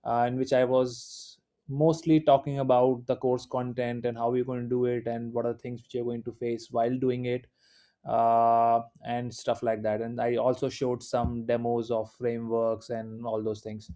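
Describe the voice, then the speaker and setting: Indian man teaching, flat mellow voice. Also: mid-range voice, moderate pace. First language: Hindi. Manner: informal and encouraging, many "uh" fillers. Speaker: instructor. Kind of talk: live online class lecture.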